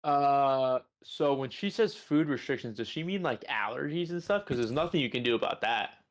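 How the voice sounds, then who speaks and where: A man's voice: medium pitch, expressive, moderate pace. Young man bantering as a podcast co-host. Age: 20s.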